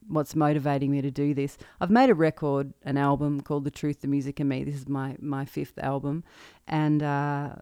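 A woman's voice: deep voice, clean tone, no room sound, clean studio sound. A woman in her thirties with an Australian accent, hosting her own podcast and talking candidly and reflectively about her life. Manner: candid, reflective, with soft laughs. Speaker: podcast host and singer-songwriter. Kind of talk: personal monologue.

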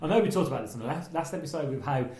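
A man co-hosting a casual film podcast, enthusiastic and rambling, a little hoarse as he is losing his voice. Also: a little high, lively intonation, racing, a little mellow, roomy sound. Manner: enthusiastic, rambling, many restarts. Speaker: podcast co-host.

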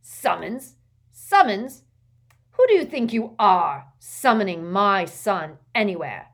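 A woman's voice: on the low side, lively intonation, slow, exaggerated voice. A woman voicing a raging, contemptuous middle-aged wizard father who bellows and jeers in an audiobook. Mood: outraged. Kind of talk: dramatised audiobook character voice.

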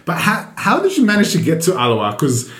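A man hosting a casual podcast interview, relaxed and jokey, laughing easily. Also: on the high side, fast talker, roomy sound. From Central America. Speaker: podcast host.

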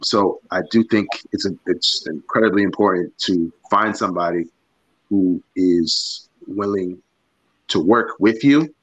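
A man talking slowly and hesitantly on a live show, searching for his words and hedging his views. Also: low voice, fairly bright tone, no room sound. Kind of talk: casual live show discussion. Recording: dull, dry lo-fi audio.

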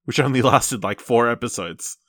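A man's voice: medium pitch, normal speed, a bit bright, husky, dry recording, clean studio sound. A man co-hosting a podcast, chatting casually through film and TV news. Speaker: podcast co-host.

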